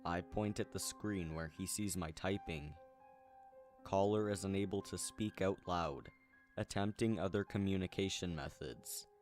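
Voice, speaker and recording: A man reading a scripted first-person horror story in a measured, deliberate way. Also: sits low, darkish tone. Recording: music behind the voice. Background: background music.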